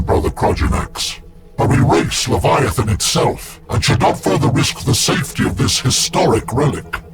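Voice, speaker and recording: A man voicing a noble, measured space marine commander with a formal way of speaking, in a game cutscene. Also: mid-range voice, close to an everyday voice.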